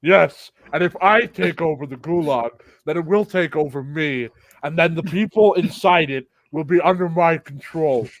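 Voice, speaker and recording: A man improvising a stubborn, power-hungry capitalist invader in a comic role-play, halting and insistent. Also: on the high side, dry recording, close to an everyday voice, poor audio. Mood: scheming. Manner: halting, insistent, repeats himself.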